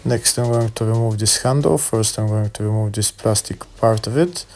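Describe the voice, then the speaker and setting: Low-pitched man, bright voice, hesitant instructions. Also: moderate pace, faint music behind. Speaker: car repair video host. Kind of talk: step-by-step how-to narration.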